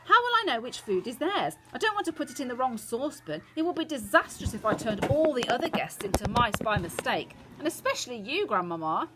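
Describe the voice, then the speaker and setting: A woman's voice: a little high, sing-song, brisk, few pauses, noisy background, pitched above the performer's own voice. A woman voicing an earnest, plucky small boy who has been turned into a mouse, in a storybook. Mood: worried. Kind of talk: storybook character voice.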